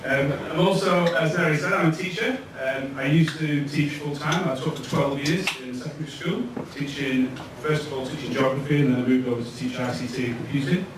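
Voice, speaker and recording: A man in his thirties with a Lancashire accent, giving a relaxed, chatty tech talk to an audience, pausing often with ums. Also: fairly high pitch. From Lancashire, England. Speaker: conference speaker. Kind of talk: tech meetup presentation. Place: event room with audience. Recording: echoey room, distant mic, noisy.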